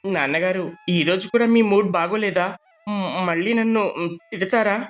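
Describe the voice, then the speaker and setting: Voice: mid-range voice, moderate pace, natural voice. An adult putting on a timid, eager little boy. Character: little boy, timid then delighted with his father.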